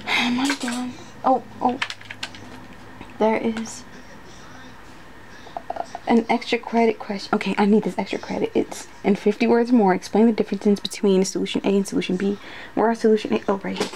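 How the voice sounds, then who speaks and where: Woman, ASMR roleplay as a nervous student: slow, gravelly fry, halting self-talk with whispered bits, noisy clicky recording. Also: on the high side. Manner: hesitant, flustered, repeats words, often slipping into whispers. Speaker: ASMR roleplayer acting as an unprepared student taking a quiz. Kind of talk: ASMR roleplay, muttering to herself.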